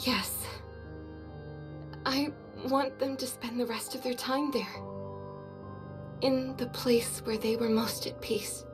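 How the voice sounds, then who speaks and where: A woman voicing a gentle, devout young warrior in a video game, soft and earnest, with measured solemn delivery. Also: on the high side, steady tone, music in the background. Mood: solemn, wistful.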